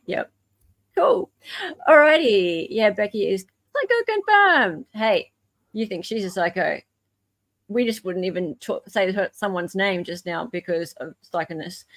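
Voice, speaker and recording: A woman's voice: medium pitch, a bit bright, no room sound. A woman with an Australian accent hosting a casual live group chat in a chatty, informal, moderating way. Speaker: livestream host.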